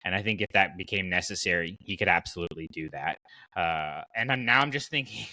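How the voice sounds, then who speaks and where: A man hosting a casual fan podcast, talking in an animated, rambling way as he thinks aloud. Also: deep voice, brisk, low-quality recording. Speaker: podcast host.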